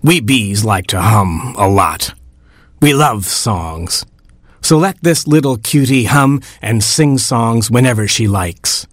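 A man's voice: medium pitch, expressive, slow, no echo, studio quality. A man voicing a cigar-chomping bumblebee boss with a boss-like manner. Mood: proud.

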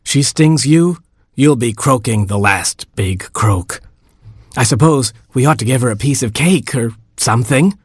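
A man's voice: medium pitch, expressive, measured pace, slightly rough, no room sound, clean studio sound. A man voicing a fretful, gracious queen frog mother. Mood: worried. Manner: murmuring, then falsely bright.

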